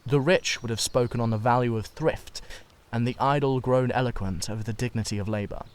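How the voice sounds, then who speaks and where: Guy narrating an old classic novel, audiobook style, medium pitch, amateur dramatised reading. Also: steady tone, a little fast, clear, resonant tone.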